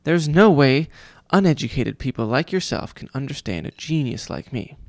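A man puts on a vain, cruel school vice principal for a storybook. He talks down to children in a mocking, self-important way. The voice has a gravelly creak and a dry, close sound. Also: mid-range voice, animated, moderate pace, no room sound, lightly acted. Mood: pompous, scolding. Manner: condescending, mocking.

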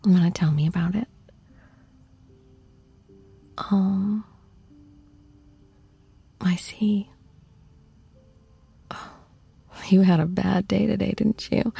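A woman playing an affectionate, teasing girlfriend in an intimate ASMR roleplay, cooing tenderly and often slipping into soft, breathy whispers. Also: medium pitch, exaggerated voice. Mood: soothing, concerned. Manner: cooing, playful, slow and tender. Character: affectionate, teasing young girlfriend comforting her shy boyfriend.

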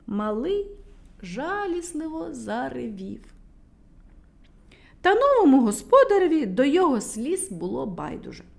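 A woman with a Ukrainian accent narrating a children's fairy tale in an expressive, storytelling manner. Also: on the high side.

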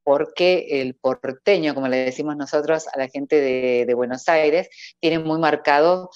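Low-voiced Argentine woman on a video call. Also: a little slow, smooth, dry recording. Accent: Cordobés Argentine Spanish. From Córdoba, Argentina. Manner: laughs often, warm sing-song lilt. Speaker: voice-over artist guest. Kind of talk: casual interview over video call. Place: indoor room at home. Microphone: earphone headset on video call. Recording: dull, dry video-call audio.

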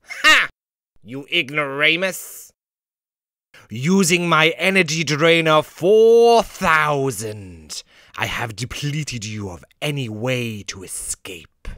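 A man's voice: fairly high pitch, animated, slow pace, sharp tone, no room sound, studio quality, exaggerated voice. A man voicing a pompous, gloating cartoon mad scientist with theatrical delivery.

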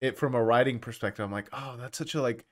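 Upbeat podcast host, fillers and laughter. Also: male voice, medium pitch, fast. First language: Spanish. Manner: enthusiastic, laughs often, filler words. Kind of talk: casual podcast interview.

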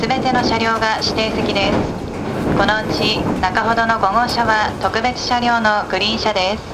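A woman working as a train conductor, making a formal announcement to passengers over the onboard PA. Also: on the high side. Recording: noisy onboard PA recording, train running. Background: train running noise.

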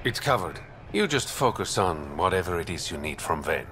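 Game smuggler, male: very low, bright voice, roguish and confident, faint ambient noise behind. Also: very animated, moderate pace. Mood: teasing, confident. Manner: smooth, sly, teasing, confident. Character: suave, roguish adult male smuggler and informant. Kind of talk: video game dialogue acting.

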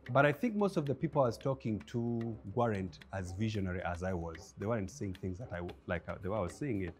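A man in his thirties with a Ugandan English accent, a film producer talking as a guest in a studio interview, lively and acting out the voices he quotes. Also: lowish voice, quick pace. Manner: animated storyteller, acts out quoted voices with laughs. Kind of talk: interview conversation. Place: bright indoor studio set with armchairs.

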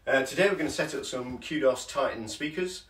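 A man's voice: mid-range voice, fairly quick, a bit bright. A middle-aged man casually talking through an unboxing in a large showroom, chatty and hesitant with fillers, moving about as he lifts and unpacks. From England. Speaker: hi-fi shop owner. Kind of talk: casual product unboxing demo. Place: large carpeted listening showroom indoors. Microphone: camera mic from across the room. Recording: roomy showroom sound from far off with music.

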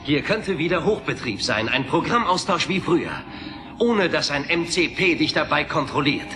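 An actor playing a determined young hero in a radio play, speaking with earnest urgency. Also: male voice, fairly high pitch, animated, moderate pace, close to an everyday voice. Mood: earnest, urgent. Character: heroic, determined young male warrior program. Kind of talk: dramatic radio play acting. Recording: echoey voice under loud music.

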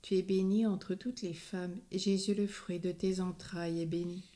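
A woman leads a rosary in a slow, steady, prayerful recitation. Her voice sits a little low and sounds soft and mellow. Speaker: prayer leader.

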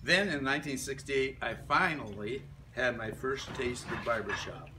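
An older man reads a prepared reminiscence aloud to a group, halting between short phrases. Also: mid-range voice. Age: older, about 70s to 80s. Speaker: club member giving a life-story speech. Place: medium-sized indoor meeting room. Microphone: camera a few metres away. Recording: distant room mic with background noise.

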